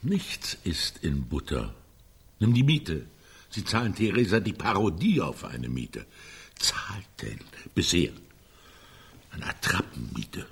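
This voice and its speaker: A pragmatic older brother in a radio drama, middle-aged and sardonic. His voice is lowish and husky, and he swings widely in pitch as he hammers his points home in a dry, sarcastic way. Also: very animated, takes it slowly, a bit bright, heavily acted. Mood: dismissive. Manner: dry, sarcastic, emphatic repetitions. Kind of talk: German radio play acting.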